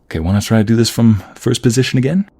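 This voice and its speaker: A man playing a protective, flirtatious mobster husband in an ASMR roleplay, gravelly and husky, coaxing his partner with affection. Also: mid-range voice, fast, mellow. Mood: patient, instructing.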